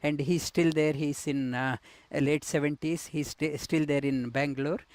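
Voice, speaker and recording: A middle-aged man from India, a yoga teacher, telling his life story with many pauses, fillers and restarts. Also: fairly high pitch, fairly even intonation, darkish tone, no room sound. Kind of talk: personal life story interview.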